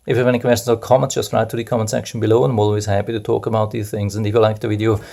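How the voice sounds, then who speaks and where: A man's voice: lowish voice, flat delivery, racing, creaky, mellow. A man giving a casual, unscripted product review, explaining things step by step and often repeating his points. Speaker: product reviewer and video host.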